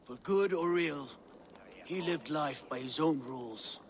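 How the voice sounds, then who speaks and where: An actor playing a proud, grieving Viking warlord in a video game, speaking with solemn, measured weight. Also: male voice, a little high, crowd noise, natural voice. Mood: solemn resolve. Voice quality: gravelly. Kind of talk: dramatic video game acting.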